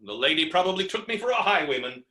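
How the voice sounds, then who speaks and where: Indignant creditor, high-pitched, wildly swooping delivery, melodramatic stage comedy rant, roomy echo. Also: male voice, very animated, fast talker, bright, forceful tone. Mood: rueful. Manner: ranting, exclamatory, exasperated, melodramatic. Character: blustering, hot-tempered male creditor, unkempt and indignant. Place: indoor room, recorded remotely. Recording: roomy, echoing virtual staged-reading recording.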